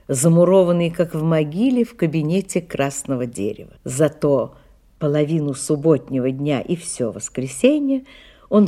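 A wry, lyrical female storyteller in a radio play. Her voice is dark and soft-edged, a little husky, and rises and falls expressively over faint music. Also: deep voice, full character voice. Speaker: narrator. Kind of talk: literary radio play narration.

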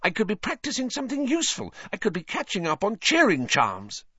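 A man's voice: sits high, wildly swooping pitch, brisk, slightly rough, no echo, muffled recording, voice pushed up, heavily acted. A man voicing an impatient, bossy teenage schoolgirl who speaks sharply. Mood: exasperated. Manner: sharp, exasperated.

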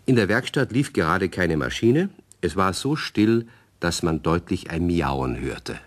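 A man's voice: low-pitched, sing-song, a little slow, exaggerated voice. A man reading scripted narration for a German children's radio play.

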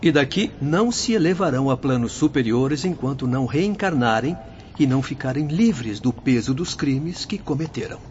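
A man playing a stern spirit official in a radio soap opera, speaking gravely and formally. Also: medium pitch, animated, moderate pace, darkish tone, lo-fi sound, slight background noise, close to an everyday voice. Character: stern male spirit official warning of dangers.

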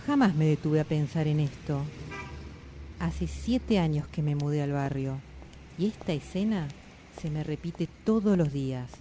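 A woman's voice: sits low, expressive, measured pace, warm tone, slight background noise. A woman acting an anxious, introspective character in a radio drama monologue, confiding and dramatic, with a Buenos Aires accent. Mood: reflective. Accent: Argentine, Buenos Aires. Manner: confiding, dramatic, reflective with colloquial swearing. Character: anxious, introspective woman haunted by always running late.

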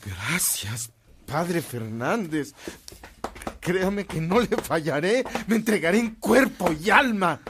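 A man's voice: high-pitched, expressive, slow, background noise, heavily acted. A male actor playing a devout, earnest and commanding young Mexican priest in a radio drama. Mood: grateful, devoted.

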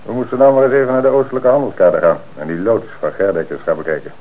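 An actor playing a calm, shrewd police commissioner who speaks in a measured, authoritative way in a radio drama. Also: male voice, fairly low pitch, phone-quality sound, close to an everyday voice.

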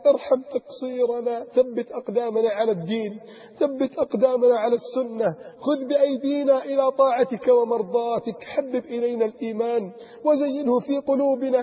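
A man with a very high, husky voice pours out fervent pleading prayers in a sermon, repeating each invocation. The sound is thin and tinny, like it came over a phone line. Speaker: preacher. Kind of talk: emotional supplication sermon.

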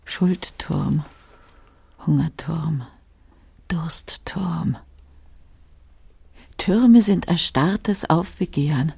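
Older wife brooding in a radio play, gravelly and husky, sardonic, bitter. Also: female voice, low voice, very slow, long pauses, dark tone, no room sound, full character voice. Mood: bleak. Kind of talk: literary radio drama monologue.

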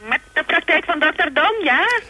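A woman's voice: very high voice, animated, moderate pace, pushed and bright, no room sound, exaggerated voice, low-quality recording. An actress playing a matter-of-fact, slightly hesitant woman on the telephone in a radio play, speaking in clipped phrases. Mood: brisk, knowing.

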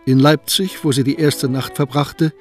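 A man tells a historical tale in a calm, measured voice of middle pitch. Soft music plays faintly beneath his narration. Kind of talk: scripted narration of a radio play.

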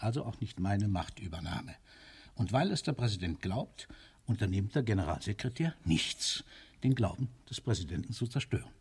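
A husky, imperious military ruler. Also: male voice, fairly low pitch, expressive, moderate pace, heavily acted. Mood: coolly argumentative. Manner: commanding, coolly argumentative, declamatory. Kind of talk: radio play acting.

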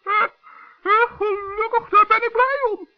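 A man's voice: very high-pitched, fairly even intonation, unhurried, pitched above the performer's own voice. A man voicing a small, naive forest gnome in a children's radio play, hesitant and plaintive. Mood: relieved. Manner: hesitant, plaintive, easily tempted. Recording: dull old radio recording.

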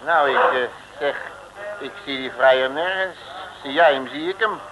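A man's voice: medium pitch, normal speed, lightly acted. A man playing a boastful, jovial detective in a comic 1960s Dutch radio serial, folksy, stammering and chuckling. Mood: gruff, familiar. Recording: noisy old radio recording.

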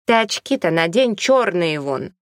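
A big, domineering older village woman from an audiobook, as a female reader puts her on. She scolds brusquely in folksy, colloquial speech and sounds gruff and combative, recorded dry and close. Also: lowish voice, lively intonation, unhurried, dry recording. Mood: scolding.

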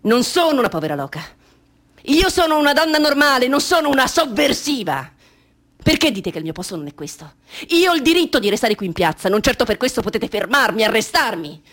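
Radio drama: a grieving, defiant mother, husky, swinging widely between outcry and breathy whisper. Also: a little high, sing-song, brisk, fairly bright tone. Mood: defiant outrage. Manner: intense, theatrical, breaking into whispers. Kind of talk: dramatic radio theatre monologue.

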